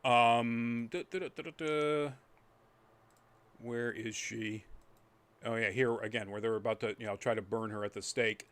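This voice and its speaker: A man's voice: on the low side, steady tone, normal speed, a bit bright. A man giving a casual, rambling spoken review as a podcast host, trailing off into asides.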